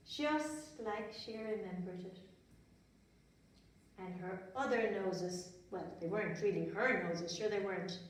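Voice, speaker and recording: An Irish-accented woman telling stories to young children in simple, repetitive phrases with chatty asides. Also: mid-range voice. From Ireland. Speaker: children's storyteller. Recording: very echoey room, distant mic, faint noise.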